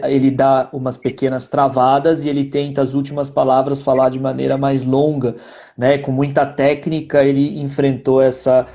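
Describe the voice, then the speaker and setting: A man's voice: mid-range voice, mellow, lo-fi sound. A man with a Brazilian accent giving explanatory political commentary in a didactic way, repeating points for emphasis and often adding tag questions.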